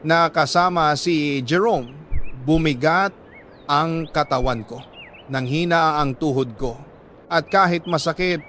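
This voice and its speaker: A man with a Filipino accent reading a listener's letter as a radio drama host, in a sorrowful, deliberate storytelling manner with dramatic pauses. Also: fairly high pitch, fairly bright tone, a little hiss or hum.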